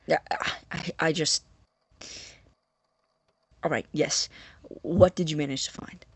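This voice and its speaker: A man playing a nervous, obsessive, awkwardly eager young student scientist in an audio drama, stammering in a breathy, husky voice. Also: a little high, fairly even intonation, takes it slowly, full character voice. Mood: defensive, flustered. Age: young adult. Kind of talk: naturalistic audio drama acting.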